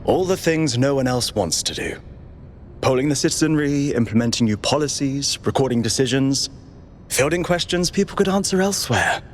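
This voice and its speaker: Game role: cautious, dutiful android official played by a man, mid-pitched with varied intonation, audible background rumble. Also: expressive, moderate pace, lightly acted. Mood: weary, disapproving. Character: cautious, overworked male android bureaucrat, chief aide. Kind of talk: video game dialogue.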